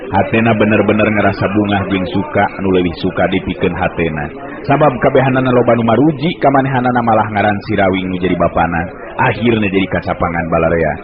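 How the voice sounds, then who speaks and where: A male storyteller from West Java narrating a folk tale in the style of a 1980s radio broadcast, measured and lively. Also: on the low side. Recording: lo-fi old radio recording.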